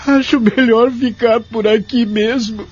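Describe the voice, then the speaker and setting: An actor playing a lonely, sorrowful elderly care-home resident in an audio drama, speaking in a measured, plaintive way. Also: male voice, very high-pitched, fairly even intonation. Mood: shaken, stubborn. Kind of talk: audio drama narration and dialogue. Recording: dull lo-fi audio with music behind.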